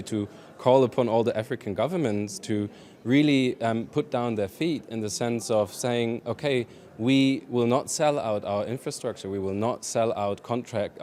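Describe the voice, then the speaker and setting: A man panelist speaking in a calm, deliberate way in a discussion. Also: on the low side.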